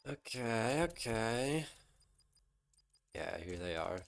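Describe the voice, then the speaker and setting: A man's voice: medium pitch, very animated, extremely slow, creaky. A man streaming a game live, chatting casually to his viewers and musing aloud to himself. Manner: talks to chat and muses aloud to himself.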